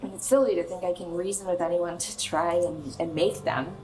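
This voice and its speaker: Actress as bitter, wounded young American pop star. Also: female voice, lowish voice, fairly even intonation, darkish tone, slight background noise, close to an everyday voice, low-quality recording. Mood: exasperated. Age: young adult. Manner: halting, emotional, profane. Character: bitter, wounded 27-year-old female pop star, sharp and confrontational. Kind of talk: naturalistic radio play acting.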